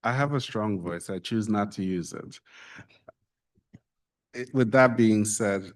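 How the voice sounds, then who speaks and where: A man works through a staff report for a town council. His voice sits a little low, and he talks in a measured, formal and official manner. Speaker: municipal staff presenting a report.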